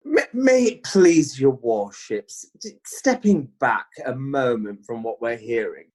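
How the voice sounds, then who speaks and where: A man playing a genteel medieval lawyer who pleads a case in a measured, deliberate way. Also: mid-range voice, expressive, darkish tone. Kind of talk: amateur radio drama.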